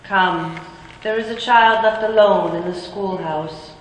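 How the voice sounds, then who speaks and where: An actor playing a solemn ghost in a radio drama, speaking slowly and gravely. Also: medium pitch, a little hiss or hum, close to an everyday voice. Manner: slow, grave and measured. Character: solemn ghost guiding through the past. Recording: long ghostly reverb.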